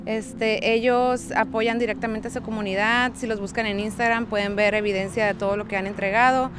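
A woman's voice: medium pitch, fairly even intonation, a little fast. A woman with a Mexican accent explaining as a community fundraiser in an unscripted interview, conversational and often checking for agreement. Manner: conversational, seeks agreement with tag questions. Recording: noisy outdoor street with passing vehicles.

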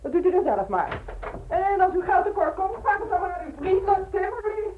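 A woman's voice: very high voice, animated, a little fast, phone-quality sound, slight background noise. A woman playing a scolding landlady. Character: stern, thrifty landlady, middle-aged woman. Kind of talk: radio drama acting.

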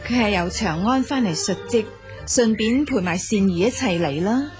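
A gracious empress of ancient China, played by a woman in a Cantonese audio drama. She speaks at a medium pitch in a stately, reassuring way, with music clearly playing behind her. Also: expressive, normal speed, lightly acted. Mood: admiring. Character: gracious ancient Chinese empress, praising and consoling.

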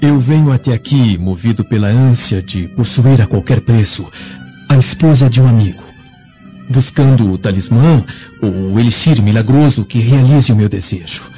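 A man's voice: mid-range voice, moderate pace, mellow, close to an everyday voice. An actor with a Brazilian Portuguese accent playing an enigmatic, courteous man of hidden passion in a radio drama, with formal, ornate delivery. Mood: anguished longing. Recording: muffled radio drama recording with music.

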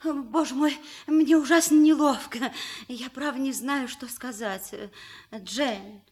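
A woman's voice: high voice, slow. A woman acting a genteel, flustered widowed mother with a hesitant, helpless manner in a radio play.

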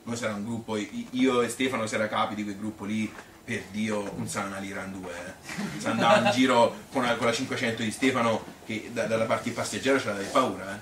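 A man's voice: on the low side, steady tone, fast, a bit bright, lightly acted. A male actor playing a chatty Tuscan man who reminisces about his youth in a colloquial, rambling stage monologue, full of repetitions and appeals for agreement. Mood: nostalgic, animated. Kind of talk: spontaneous-sounding theatrical storytelling. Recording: echoey room, distant mic, faint noise.

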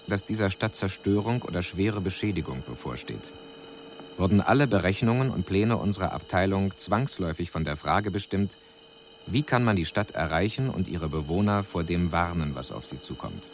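Low-voiced, clinical alien envoy. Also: male voice, expressive. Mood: detached, formal. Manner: measured, clinical, precise. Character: male alien envoy reporting coolly on a doomed city. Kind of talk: scripted radio drama, formal report. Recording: radio drama recording with audible background noise.

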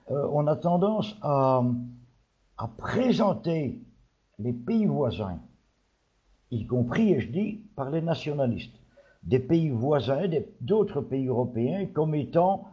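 A man's voice: on the high side, dark tone, dull audio. A man from Belgium giving his opinions in a panel discussion, hesitant with many fillers. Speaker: panel guest.

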